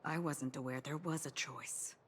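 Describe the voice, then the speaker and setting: A woman playing a cool, unflappable, quietly dangerous warrior in a video game, with deadpan, composed delivery. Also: low-pitched, normal speed, a little hiss or hum, lightly acted. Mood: resigned, dry. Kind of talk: dramatic video game voice acting.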